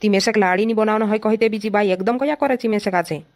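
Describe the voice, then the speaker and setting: A woman's voice: mid-range voice, racing, close to an everyday voice. A woman voicing a stubborn, argumentative young village son in a cartoon comedy, always complaining and answering back.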